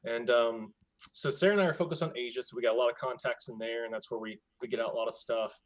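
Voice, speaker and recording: A man's voice: medium pitch, fast talker. A man in ministry talking casually about his missions work over a video call. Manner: relaxed, chatty, loose and informal. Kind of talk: casual explanation.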